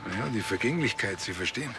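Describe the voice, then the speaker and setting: A man's voice: fairly low pitch, expressive, fast, bright, forceful tone, soft background music. An actor playing a cheeky, bumbling village policeman in a TV comedy drama, speaking with a Bavarian accent in a rambling, mock-solemn way.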